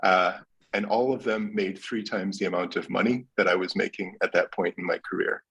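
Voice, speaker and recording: A man with an American accent speaks as a panel guest in a candid, relaxed way. His voice is low and a little husky. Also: brisk, rough recording. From United States. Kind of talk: conversational career storytelling.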